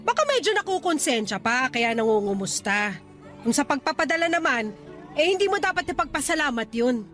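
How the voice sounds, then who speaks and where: A woman's voice: high voice, very animated, fairly quick, a bit bright, soft background music. A woman playing a blunt, suspicious, scolding middle-aged mother in a Filipino radio drama. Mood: suspicious, needling. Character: nagging, suspicious mother of a grown married daughter.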